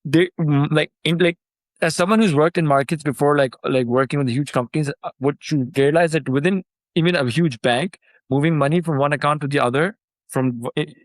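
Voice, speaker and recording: A man from Pakistan, a startup founder, talking casually in a remote interview. He speaks loosely with lots of fillers and gets animated when he is excited. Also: a little high, brisk, no echo.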